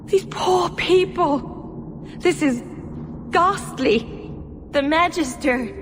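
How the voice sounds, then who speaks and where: High-voiced, timid slave girl. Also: drawn-out words, noisy background, exaggerated voice. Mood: distraught, shaken. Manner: meek, naive, pleading. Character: timid, innocent, frightened young female slave servant. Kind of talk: video game voice acting. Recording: long echoing reverb, music underneath.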